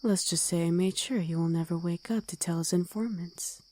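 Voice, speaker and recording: Wolf girl played by a woman: guarded, commanding, dark mellow tone, husky edge, ASMR roleplay. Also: lowish voice, moderate pace, natural voice. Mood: weary, matter-of-fact. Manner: blunt, commanding, gruffly teasing. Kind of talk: ASMR roleplay audio drama.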